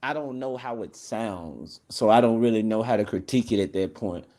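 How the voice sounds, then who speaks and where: A man's voice: fairly low pitch, normal speed, mellow. A man chatting casually with a friend, rambling and slangy, restarting his sentences. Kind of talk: casual chat.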